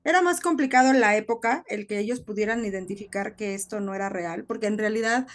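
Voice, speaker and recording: A woman's voice: medium pitch, fast talker. A woman co-hosting a casual podcast, talking reflectively and often checking in with short tag questions. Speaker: podcast co-host.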